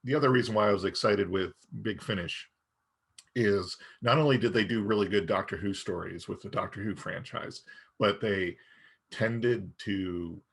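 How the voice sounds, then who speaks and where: A man's voice: on the low side, normal speed, gravelly, breathy edge. A man co-hosting a podcast, giving his opinions casually and thinking aloud in a hesitant, conversational way. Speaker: podcast co-host. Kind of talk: casual review discussion.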